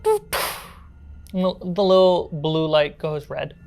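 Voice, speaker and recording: Low voiced lady game mastering a horror rpg, descriptive but informal, bit of noise in the room. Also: slow pace, gravelly, dark tone. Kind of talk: narrating a tabletop horror role-playing game.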